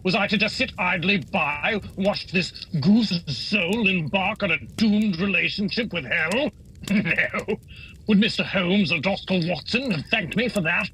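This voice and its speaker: A man's voice: sits high, measured pace, sharp tone, slight background noise, poor audio. A man playing a bumbling, greedy working-class husband in a radio play, overwrought and comically pompous. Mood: indignant. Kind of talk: holiday radio play acting.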